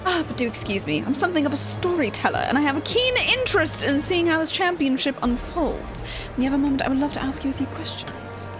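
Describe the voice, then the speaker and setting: A woman's voice: sits high, sing-song, music in the background. Woman doing a genteel, urbane male storyteller. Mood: courteous, intrigued. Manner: polite, measured, refined.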